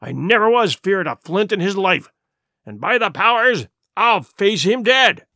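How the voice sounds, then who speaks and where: A man voicing a defiant, cunning pirate leader in a storybook, with emphatic, rough seafaring speech. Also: fairly high pitch, sing-song, a little slow, sharp tone, no room sound, studio quality, exaggerated voice. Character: defiant, cunning male pirate ringleader, bold and scornful.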